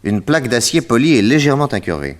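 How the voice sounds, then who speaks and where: An actor playing a shrewd, confident detective in a French radio mystery play, courteous and deliberate as he explains and probes. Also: mid-range voice, sing-song, lightly acted. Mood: assertive, explanatory. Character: shrewd, theatrical male detective, confident and probing.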